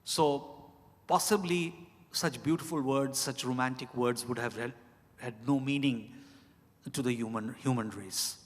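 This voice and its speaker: Halting Indian man in a reverberant hall. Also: medium pitch. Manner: halting, deliberate, repeats phrases. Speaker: author answering questions. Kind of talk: reflective live Q&A at a literary event. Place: large indoor event hall at a hotel. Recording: reverberant hall, amplified live event.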